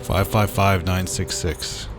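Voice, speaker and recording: A man with a low voice plays through a game and comments in a casual way. Game music is clearly audible behind him. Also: level tone, slow. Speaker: let's player.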